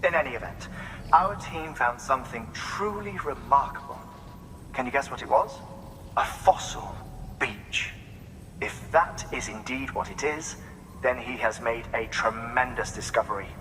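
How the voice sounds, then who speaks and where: A man's voice: medium pitch, a little slow, noisy background, close to an everyday voice. An actor playing a courteous male scientist who speaks in a formal, lecturing manner. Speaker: scientist character.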